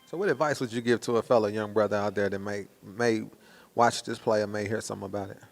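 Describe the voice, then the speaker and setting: A man's voice: lowish voice, steady tone, quick pace, gravelly. An American man doing casual promotional interviews in a slangy, hyped, conversational way.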